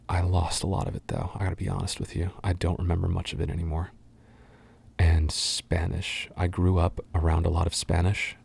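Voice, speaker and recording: Soft spoken young guy from the states, husky whispery voice, mellow and low, rambly. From United States. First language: English. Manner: soft-spoken, rambling, lots of ums and uhs. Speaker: voice actor answering fan questions.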